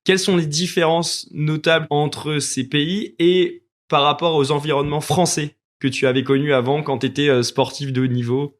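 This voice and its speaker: A man's voice: a little high, steady tone, moderate pace, few pauses. A man hosting a podcast, asking long, winding questions with fillers. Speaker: podcast host. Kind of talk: interview questions.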